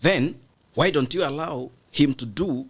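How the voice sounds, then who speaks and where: A man's voice: unhurried, slightly rough. A Kenyan man from the Mount Kenya region, a studio guest arguing a political point emphatically. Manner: emphatic, rising pitch to press points. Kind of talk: political debate.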